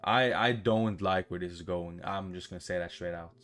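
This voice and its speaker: A man with a fairly low voice reads a visual novel aloud in a gaming playthrough, with clear guitar music behind him. He trips on words here and there and drops in his own comments. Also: moderate pace, fairly bright tone. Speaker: gaming YouTuber.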